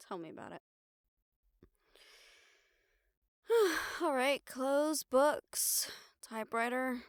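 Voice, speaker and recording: High-voiced, wry young woman reporter, acted by a woman. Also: clean tone, no room sound, clean studio sound. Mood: wry, upbeat. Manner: casual, wry, sweary. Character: weary, sardonic, stubborn young woman newspaper reporter. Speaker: audio roleplay voice actor. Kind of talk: intimate audio roleplay acting.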